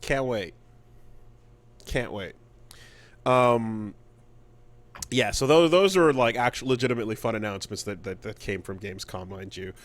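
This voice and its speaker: A man co-hosting a gaming podcast in casual chat, halting and repeating words as he thinks aloud. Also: lowish voice. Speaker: podcast co-host.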